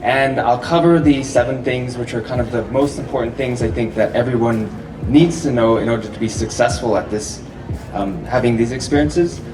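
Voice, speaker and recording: Man, middle pitch, easygoing festival speaker who quizzes the crowd, far from the mic in a noisy, echoey room. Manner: relaxed, chatty, laughs often, asks the audience questions. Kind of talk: live public talk to an audience. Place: festival venue with a live audience. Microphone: handheld or stand microphone, often held far off. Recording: roomy echo, distant mic, audible hall noise.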